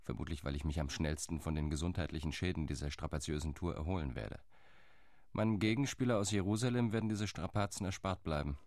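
A wry liaison officer in a radio play. His voice is low and steady, his remarks dry and faintly ironic. The sound is close with no room around it. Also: quick pace, dry recording.

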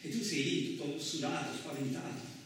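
A man acting in a radio play as a gruff, crude truck driver who tells his story in blustering, rambling, colloquial speech. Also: on the high side, fairly even intonation, normal speed, lightly acted. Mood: rueful, reflective. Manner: blustering, rambling, conversational, mildly swearing. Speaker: actor in a solo radio play. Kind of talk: colloquial theatrical radio monologue. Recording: roomy, echoing distant miking with faint noise.

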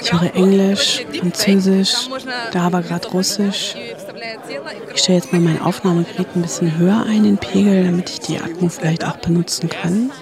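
An actress playing a self-doubting radio journalist, talking to herself in a naturalistic, tentative way. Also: female voice, lowish voice, fairly even intonation, normal speed, crowd noise, natural voice. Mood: distracted, musing. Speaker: radio play protagonist, reporter. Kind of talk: naturalistic radio drama monologue.

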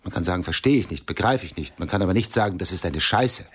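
A man's voice: low voice, lively intonation, quick pace, fairly bright tone, breathy edge, full character voice. A man acting a cultured, easily offended art lover in a radio play, speaking emphatically and pedantically.

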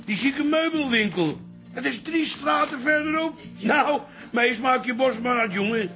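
A man's voice: very high voice, expressive, measured pace, bright, forceful tone, soft background music, exaggerated voice. An actor playing a feisty, blustering old father and proud city man with a fighting past, in a Dutch radio drama. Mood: needling. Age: older.

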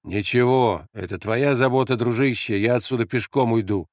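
A man's voice: mid-range voice, normal speed, darkish tone, dry recording, clean studio sound, close to an everyday voice. A man voicing a weary, restrained foreign soldier in an audiobook, with an ironic manner. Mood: wry, dismissive.

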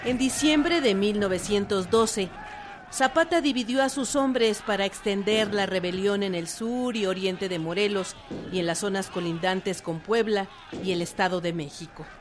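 A woman's voice: mid-range voice, moderate pace, slight background noise. A woman reading scripted historical documentary narration.

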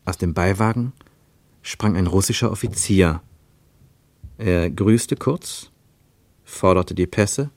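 A man narrating a literary radio play in a measured, deliberate storytelling way. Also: fairly low pitch, vocal fry, darkish tone, dry recording.

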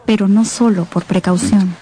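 An actress as solemn radio-drama narrator, mid-pitched. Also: female voice, fairly even intonation, normal speed, dark tone, slight background noise, lightly acted. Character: solemn female storyteller of a tragic tale. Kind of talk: scripted dramatic storytelling narration.

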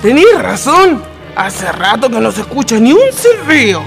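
A man's voice: very high voice, sing-song, normal speed, vocal fry, full character voice. An actor playing a jumpy village man lost at night in a radio drama, with a Chilean accent and rustic, exclamatory speech. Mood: uneasy. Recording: music behind the voice.

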